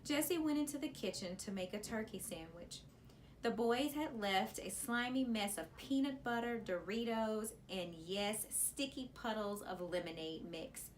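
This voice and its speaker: A woman's voice: mid-range voice, unhurried. Woman reading a story, noticeable background noise. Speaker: elementary school teacher reading aloud. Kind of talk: expressive children's audiobook storytelling.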